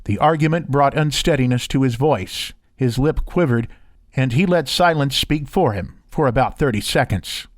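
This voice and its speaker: A man's voice: medium pitch, moderate pace, dry recording. A man doing scripted voiceover narration and demo reading, recorded close on a studio condenser microphone. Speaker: voiceover artist and interviewer.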